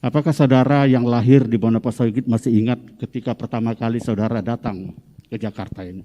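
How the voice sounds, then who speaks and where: A Medan-accented man in his fifties preaches to his congregation, slow and deliberate, then swelling loud and high at the key lines. His tone is soft and mellow. The church hall gives his voice a long, lingering reverb. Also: steady tone. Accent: Medan Indonesian. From Medan, North Sumatra, Indonesia. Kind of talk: sermon to a congregation.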